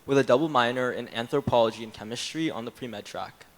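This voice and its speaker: A man's voice: mid-range voice, quick pace. An eighteen-year-old man from New Jersey, a student, talking casually on a panel. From New Jersey, United States. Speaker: panel participant, university student. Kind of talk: casual roundtable talk.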